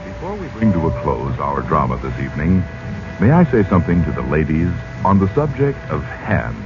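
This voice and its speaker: A male radio announcer delivering a 1940s commercial, addressing listeners like a smooth salesman. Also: deep voice. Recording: dull 1940s radio recording. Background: music.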